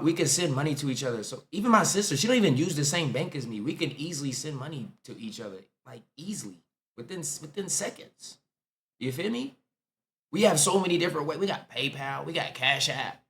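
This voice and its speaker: A man's voice: mid-range voice, fairly bright tone. A young American man with an American accent hosting a casual reaction video, talking in laid-back slangy banter. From United States.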